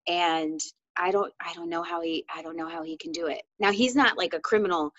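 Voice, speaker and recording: A woman's voice: fairly low pitch, a little fast, fairly bright tone, no echo, dull audio. A woman co-hosting a book discussion in a casual, candid, conversational way, often peppering her talk with "like". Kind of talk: casual chat.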